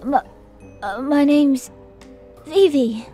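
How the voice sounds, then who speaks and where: A woman voicing a timid little boy mage in an audiobook, shy and halting with a slight stammer. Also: sits high, mellow, faint music behind.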